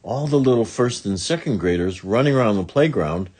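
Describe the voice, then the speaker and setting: A man's voice: fairly low pitch, lively intonation, a little fast, a little mellow, husky. A man narrating a children's storybook expressively, with dramatic emphasis on words. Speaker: children's book read-along narrator.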